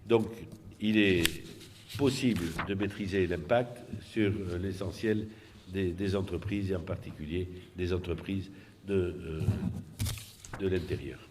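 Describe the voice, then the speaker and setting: A man giving an unscripted explanatory political presentation, halting and often repeating words as he searches for the next one. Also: low-pitched, steady tone, noisy background.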